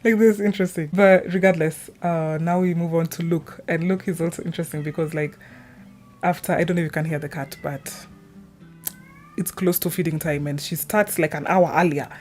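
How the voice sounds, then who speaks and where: A young woman speaks in a fairly low voice over background music. She chats informally about her opinions, wandering into tangents as she goes. It has the loose, unscripted feel of a homemade gaming video. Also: steady tone, brisk, darkish tone. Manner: informal, chatty, trailing tangents. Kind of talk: casual rambling opinion chat.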